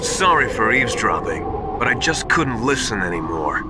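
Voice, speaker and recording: An actor playing a wise, stern mentor in a video game, measured and admonishing. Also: male voice, lowish voice, big pitch swings, very noisy. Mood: sympathetic, admonishing. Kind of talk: dramatic video game voice acting. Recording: loud music behind.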